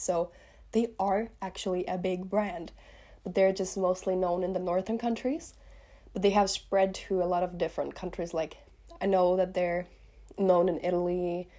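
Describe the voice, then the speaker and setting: A woman's voice: fairly low pitch, warm tone. Chatty young woman with a slight cold. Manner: chatty, rambling, lots of "like". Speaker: beauty vlogger. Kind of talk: casual makeup review to camera. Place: small room indoors.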